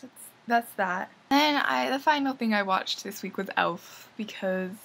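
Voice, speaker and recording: A woman's voice: mid-range voice, a little slow, vocal fry, a bit bright. A young woman casually reviewing the films she watched, rambling and enthusiastic with lots of fillers. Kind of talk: casual movie review vlog.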